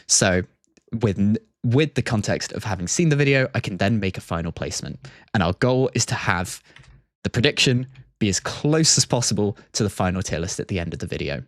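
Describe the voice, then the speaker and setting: A man's voice: lowish voice, lively intonation, brisk, no room sound, clean studio sound. A man hosting a livestream, explaining things casually and methodically as he goes. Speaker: livestream host. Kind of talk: casual scripted-free stream commentary and explanation.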